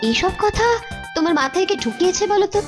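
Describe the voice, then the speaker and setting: High-voiced nagging cartoon queen. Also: fast, over music. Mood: scolding, worried. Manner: nagging, expressive. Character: scolding, fretful queen and wife of a king. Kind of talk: Bengali kids' cartoon voice acting.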